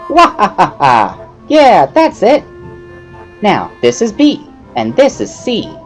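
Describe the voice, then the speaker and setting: Woman voicing a bubbly, giggly, high-pitched schoolgirl. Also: huge pitch swings, faint music behind, poor audio. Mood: cheerful teaching. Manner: giggly, perky, emphatic, with drawn-out laughs. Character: bubbly, pushy schoolgirl, earnest amateur sign language tutor. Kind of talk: dramatic reading of a visual novel.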